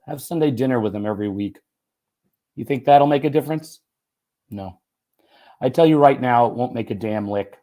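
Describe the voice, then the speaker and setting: A man with a slightly hoarse voice reads literary fiction aloud. He speaks expressively and conversationally, with folksy emphasis, at a middle pitch. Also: warm tone. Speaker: writer and teacher reading own short story. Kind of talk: literary reading of first-person fiction.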